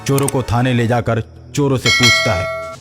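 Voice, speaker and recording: A man's voice: mid-range voice, fairly even intonation, normal speed. A man narrating a scripted cartoon story as the storyteller. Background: background music.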